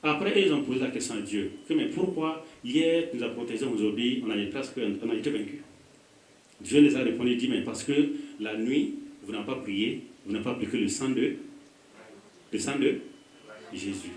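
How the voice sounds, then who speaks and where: A man's voice: mid-range voice, normal speed. Man, pastor, West African accent, call-and-response preaching, echoey hall, voice from a distance. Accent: West African French. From Burkina Faso. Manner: prompts the congregation with "quoi?" to finish his phrases. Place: church hall.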